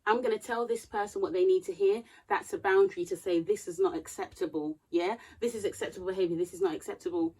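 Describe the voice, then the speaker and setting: A woman's voice: medium pitch, fast, few pauses, mellow, husky, low-quality recording. A woman in her thirties giving earnest, preachy advice to camera. She checks her notes now and then and grows emphatic as she talks. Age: 30s. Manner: reading from notes. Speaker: vlogger. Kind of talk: casual advice talk to camera.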